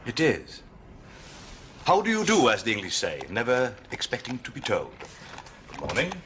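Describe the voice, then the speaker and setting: An actor playing a formal, dry-witted young Swedish gentleman with a Swedish accent who talks in a precise, literal way in a 1970s television period drama. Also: mid-range voice, wildly swooping pitch, normal speed, a little hiss or hum. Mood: drily amused. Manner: precise, literal, drily ironic.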